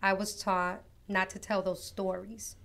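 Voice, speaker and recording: A woman from Costa Rica, a guest author on an interview show, telling her life story in an earnest, reflective, unhurried way. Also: mid-range voice, level tone, creaky, heavy background noise. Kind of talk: personal testimony in an interview.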